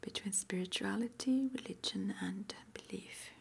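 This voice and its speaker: A woman whispering in an ASMR roleplay as an interviewer, breathy and halting, with gentle questions. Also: mid-range voice. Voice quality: breathy, mostly unvoiced whisper. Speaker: ASMR roleplay performer as witch school interviewer.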